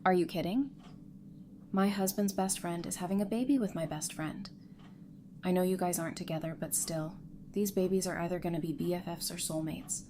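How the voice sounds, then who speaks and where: A woman's voice: on the low side, brisk, dark tone, slight background noise, natural voice. A woman playing an upbeat, teasing expectant mother and loyal best friend in an audio drama. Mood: teasing, excited.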